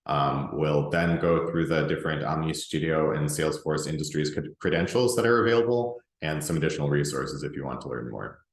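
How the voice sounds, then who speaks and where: Very low male voice, relaxed webinar presenter, roomy echo. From Russia, grew up in the US. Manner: relaxed, conversational, many ums and restarts. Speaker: presenter and group organizer.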